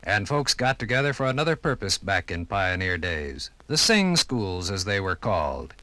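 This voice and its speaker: Lively, bright-voiced 1950s radio guide, male. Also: sits low, moderate pace, no room sound. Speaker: narrator and guide. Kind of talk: 1950s scripted radio narration.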